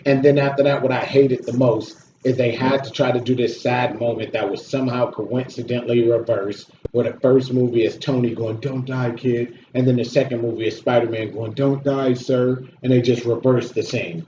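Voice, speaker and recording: A man's voice: medium pitch, steady tone, moderate pace, few pauses, warm tone. A man giving a casual, opinionated movie review as a video host, blunt, complaining and swearing freely. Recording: roomy echo, dull audio, slight noise.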